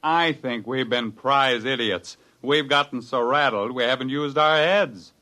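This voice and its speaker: A man's voice: mid-range voice, unhurried, bright, forceful tone. An actor playing a driven, impatient male scientist who gives orders urgently, in 1950s radio drama style. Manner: commanding, urgent.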